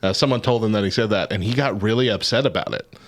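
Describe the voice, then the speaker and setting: A man's voice: on the low side, fast. A man co-hosting a casual live-streamed show, joking and laughing often as he talks.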